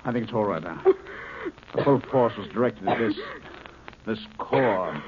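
A desperate scientist, urgent. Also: male voice, fairly low pitch, lively intonation, unhurried. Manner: urgent, pleading. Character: male scientist in peril, desperate and earnest. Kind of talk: 1950s radio drama acting. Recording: dull old radio broadcast with faint hiss.

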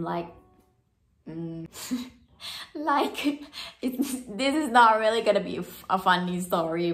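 A woman's voice: medium pitch, echoey room. A young woman from Thailand with a Thai accent, chatting expressively to camera about her experiences in a casual vlog style. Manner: chatty, expressive, gestures with hands. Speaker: vlogger. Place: small home room, indoors.

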